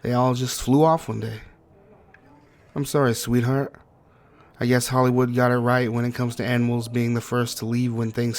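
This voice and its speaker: A man acting as a grieving, guilt-ridden partner in an intimate audio roleplay, speaking tenderly and haltingly to a sweetheart. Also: medium pitch, close to an everyday voice. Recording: clearly noisy track with ambience.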